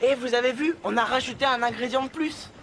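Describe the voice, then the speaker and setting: A woman playing a dramatic, anxious, joking young schoolboy in a French-dubbed children's cartoon, expressive in delivery. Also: high voice, brisk, noisy background, close to an everyday voice. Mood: cheerful. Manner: melodramatic, expressive.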